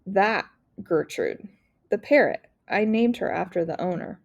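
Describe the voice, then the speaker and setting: A woman voicing a shy, hesitant male pet shop clerk in a storybook, mumbling and slow to answer. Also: medium pitch, lively intonation, vocal fry, muffled recording. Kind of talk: storybook read-aloud character voice.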